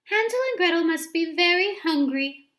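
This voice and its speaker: A woman doing a high, pleading good witch. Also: animated, normal speed, fairly bright tone. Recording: roomy echo.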